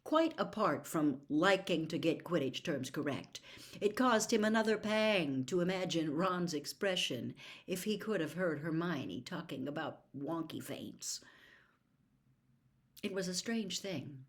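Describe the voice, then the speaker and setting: A woman reading a children's fantasy novel aloud as an expressive storybook narrator. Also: fairly low pitch, measured pace. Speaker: audiobook reader.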